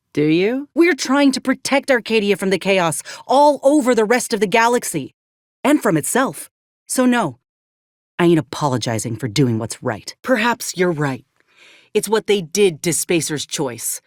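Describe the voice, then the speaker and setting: Low-voiced, wry soldier woman. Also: no room sound. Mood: flirty, awkward, then defiant. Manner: folksy, dry, plainspoken. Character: tough, wry, weathered female soldier companion in space. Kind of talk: video game character dialogue.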